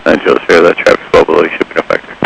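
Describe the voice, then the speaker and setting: A man's voice: extremely low pitch, completely flat delivery, very fast, creaky. A male air traffic controller giving clipped instructions in standard phraseology over aviation radio. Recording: radio transmission with faint noise.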